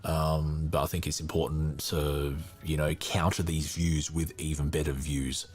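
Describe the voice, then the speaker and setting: A man hosting a podcast with casual live commentary, talking in a rambling, halting way and easily sidetracked. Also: very deep voice, little pitch movement. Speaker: podcast host. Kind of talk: casual live commentary while reading a book.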